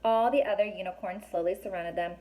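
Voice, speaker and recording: A woman reading a children's story aloud, pausing to ask young listeners questions and explain things in a chatty way. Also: mid-range voice, steady tone, dark tone. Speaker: teacher or reader reading a children's book aloud.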